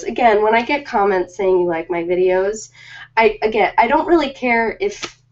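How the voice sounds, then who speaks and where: A woman chatting casually and informally on an ASMR live stream, rambling from one thought to the next. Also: mid-range voice. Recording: dull lo-fi live-stream audio.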